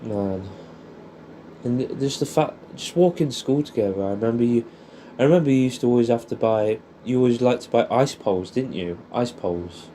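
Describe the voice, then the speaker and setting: A young man with an English accent and a soft, mellow voice reminisces as if to an old mate. He rambles nostalgically, swears freely and keeps ending statements with little questions. There is faint noise behind him. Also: mid-range voice, brisk. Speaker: audio creator. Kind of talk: casual intimate reminiscing addressed to an old friend.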